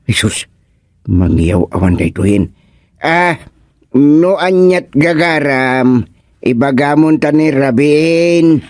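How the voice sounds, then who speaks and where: Guy doing a bumbling village man in radio comedy, stammery, slowish, dry sound. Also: a little high, lively intonation, a little mellow, no echo. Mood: suspicious. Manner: stammering, exclaiming. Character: startled, bumbling village man talking to a frog. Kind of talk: comedy radio drama acting.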